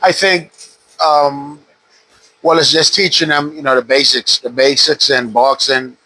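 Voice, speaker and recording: A man in his fifties from the Bahamas, a retired boxer calmly telling his story in an interview at a boxing gym. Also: medium pitch, measured pace, rough recording. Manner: relaxed, steady storytelling with frequent "uh". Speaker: retired pro boxer, guest. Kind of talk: casual interview.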